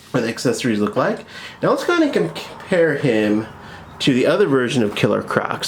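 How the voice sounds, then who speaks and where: Male review host, medium pitch, casual and enthusiastic, informal delivery. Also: slight background noise.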